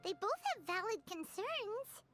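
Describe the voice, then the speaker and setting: A woman voicing a tiny childlike floating fairy guide in a video game, perky and indignant, with a squeaky voice. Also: expressive, measured pace. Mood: earnest. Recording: loud music behind the voice.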